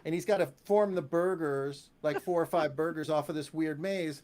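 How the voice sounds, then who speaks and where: Male interview guest, higher-pitched voice, rambling and conversational, casual stream chat. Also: normal speed, darkish tone.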